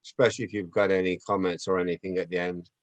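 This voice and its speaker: A man's voice: deep voice, level tone, quick pace, no room sound. A man, an actor at a table read, chatting casually. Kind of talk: casual chat.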